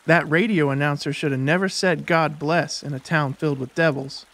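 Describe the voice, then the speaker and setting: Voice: medium pitch, fairly even intonation, moderate pace, fairly bright tone, lightly acted. An actor playing a devout, earnest teenage boy who preaches emphatically in a dramatized audiobook. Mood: earnest, preachy.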